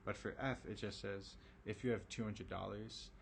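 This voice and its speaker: A man teaching a recorded algebra lesson, his voice raspy and tired from a late hour, talking casually with lots of ums and okays. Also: fairly low pitch, steady tone, normal speed, slight background noise. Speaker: math teacher.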